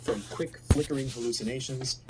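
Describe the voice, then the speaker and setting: A man's voice: medium pitch, fairly even intonation, brisk, noisy background. A man reading a scripted, analytical video essay narration.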